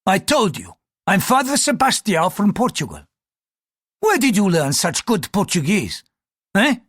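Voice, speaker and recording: An audiobook reader voicing an irritable, fanatical Portuguese priest who speaks in an impatient, accusing, forceful way. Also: mid-range voice, big pitch swings, unhurried, slightly rough, no room sound, studio quality, pitched above the performer's own voice. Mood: indignant, bitter.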